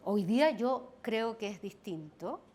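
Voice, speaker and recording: Woman, Chilean accent, strong voice, somewhat high pitch, measured panel talk. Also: animated, mellow, a little hiss or hum. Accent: Chilean Spanish. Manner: measured, qualifying her points. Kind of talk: panel discussion. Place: event hall.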